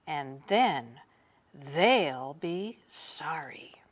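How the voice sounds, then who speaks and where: A woman's voice: low-pitched, lively intonation, drawn-out words, voice pushed down, heavily acted. A woman voicing a foolish, boastful young male servant from a storybook, eager and emphatic. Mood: indignant.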